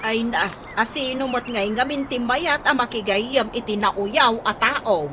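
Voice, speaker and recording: A woman's voice: fairly high pitch, unhurried, pushed and bright, slight background noise. A woman playing a curious, gossipy neighbor in an Ilocano comedy radio drama, conversational with an Ilocano accent.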